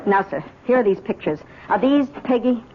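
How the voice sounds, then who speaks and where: An actress playing a respectful, methodical police sergeant in an old-time radio drama, husky and matter-of-fact. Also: female voice, on the high side, lively intonation, moderate pace, a little hiss or hum, lightly acted. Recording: muffled old radio recording.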